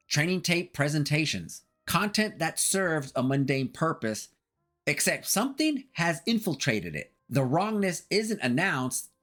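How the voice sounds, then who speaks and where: A man delivers a scripted video essay as its narrator, analyzing horror. His voice sits a little high and moves with a very lively range of intonation.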